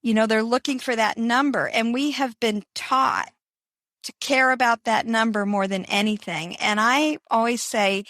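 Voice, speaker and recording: Bright-voiced upbeat older woman. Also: fairly high pitch, steady tone, measured pace, no echo. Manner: upbeat, enthusiastic, motivational. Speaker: guest. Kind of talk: casual interview talk.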